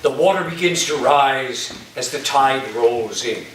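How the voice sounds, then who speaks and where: A man playing a whimsical narrator in a staged play reading, speaking in deliberate, separated phrases. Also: mid-range voice, a bit bright, close to an everyday voice. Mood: whimsical, earnest. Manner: deliberate, pausing between phrases. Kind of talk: theatrical dramatic monologue. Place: community center stage. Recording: echoey hall, distant mic, background noise.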